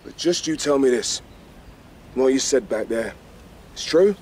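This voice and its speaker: A man's voice: mid-range voice, expressive, measured pace, a little mellow, breathy edge. A male actor playing a tough, defiant rabbit fighter in a radio drama, with a blunt, sardonic and commanding manner. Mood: disgruntled.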